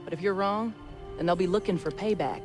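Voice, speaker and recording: A woman's voice: on the low side, a little fast, faint music behind, close to an everyday voice. A woman playing a tough, no-nonsense frontier fighter in a video game, with a plain-spoken, folksy manner. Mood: wary. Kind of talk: video game cutscene acting.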